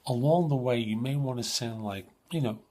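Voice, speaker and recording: A man hosting an advice show in an informal, conversational coaching manner, with a husky, slightly rough voice. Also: medium pitch, normal speed, a little mellow. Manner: informal, emphatic coaching, acts out sample questions. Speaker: host of a job search advice show.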